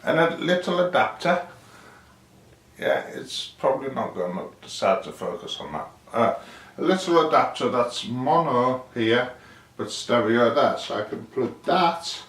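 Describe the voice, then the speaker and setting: A man's voice: medium pitch, sing-song, measured pace, fairly bright tone. A man giving a casual, unscripted hands-on explanation as a DIY vlogger, rambling and thinking aloud as he goes. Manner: rambling, thinking aloud, asks himself questions. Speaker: DIY vlogger presenting his project. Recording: roomy, noisy, distant mic with clicks.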